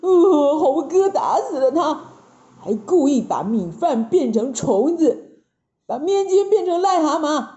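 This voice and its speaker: A woman's voice: high voice, big pitch swings, a little slow, dark tone, pitched above the performer's own voice. A woman voicing a whiny, gullible male pig disciple who grunts hesitantly and tattles in a children's story. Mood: whining accusation. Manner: hesitant grunts, whining complaints. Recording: roomy, dull lo-fi sound.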